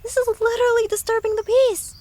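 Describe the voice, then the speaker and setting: A woman voicing a young, earnest but cunning fox in an audio drama, excitable and argumentative. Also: extremely high pitch, a little fast. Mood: excited, insistent. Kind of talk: animated audio drama acting.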